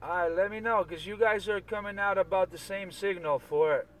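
A man's voice: high-pitched, steady tone, a little fast. A man chatting casually on CB radio, giving signal reports and often repeating himself. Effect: CB radio transmission. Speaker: CB radio operator. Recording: distant, very noisy CB radio chat.

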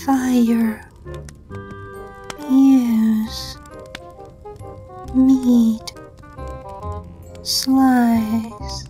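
A woman's voice: fairly high pitch, flat delivery, drawn-out words, pauses often, warm tone, faint music behind. A woman voicing a haughty, greedy young fiend in a comic dub, with a boastful, childish and imperious manner. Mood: sulky. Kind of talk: fan comic dub, exaggerated anime acting.

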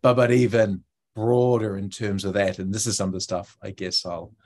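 A man with a New Zealand accent and a fairly low voice gives an informal talk over a video call. His phrasing is conversational and a little hesitant, as a guest designer explaining his work. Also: little pitch movement, normal speed, a little mellow. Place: indoor room on a video call.

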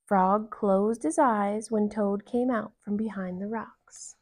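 Slow-paced woman reading a children's story. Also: mid-range voice, steady tone, a little mellow. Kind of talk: expressive read-aloud narration. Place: living room, indoors on a couch.

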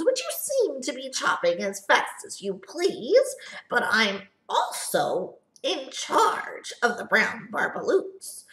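A woman voicing a small, grumpy old male forest guardian who scolds in a croaky, querulous voice and keeps coughing. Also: high-pitched, a bit bright, voice pushed up, full character voice. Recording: echoey room.

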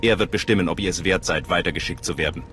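An actor playing a stern, sceptical gatekeeper of a cult in a video game, measured and authoritative. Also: male voice, sits low, a bit bright, a little hiss or hum. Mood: stern, instructing. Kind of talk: video game voice acting in German. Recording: faint outdoor ambience behind.

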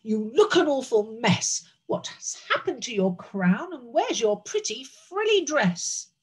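A woman voicing a stern, pompous king and uncle in a storybook, scolding in a thundering, drawn-out way. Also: lowish voice, very animated, mellow, husky, full character voice.

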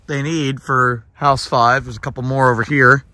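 A man giving casual, halting, rambling vlog commentary as host of a walking tour. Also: medium pitch, flat delivery. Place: walking through empty new houses and outside. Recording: handheld camera with clear background noise.

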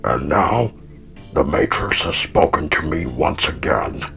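A man playing a solemn, weary old heroic robot leader in an animated fan dub, speaking with grave, portentous deliberation. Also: rumbling low, a little slow, vocal fry, soft background music, full character voice. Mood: solemn, foreboding. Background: musical score.

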